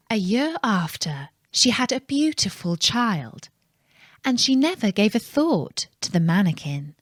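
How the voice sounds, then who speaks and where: A woman narrating a fairytale for children in a calm, measured, scripted storytelling style. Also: medium pitch, dry recording, studio quality.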